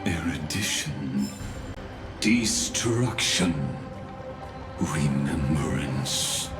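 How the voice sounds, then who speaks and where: A grave, fatalistic schemer of a scholar for a fantasy game. His voice is low and extremely slow, each phrase set apart by portentous pauses. Music plays clearly behind him. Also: heavily acted. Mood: ominous, grandiose. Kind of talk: dramatic video game voice acting.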